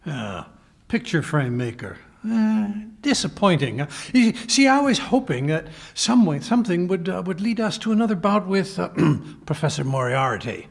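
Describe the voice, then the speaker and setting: A man's voice: sits high, big pitch swings, normal speed, full character voice. A man playing a bumbling, genial older doctor sidekick in a 1940s radio mystery style, hesitant and stammering. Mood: hopeful, blustering. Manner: blustering, hesitant, stammering, throat-clearing. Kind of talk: 1940s radio mystery acting, live re-creation.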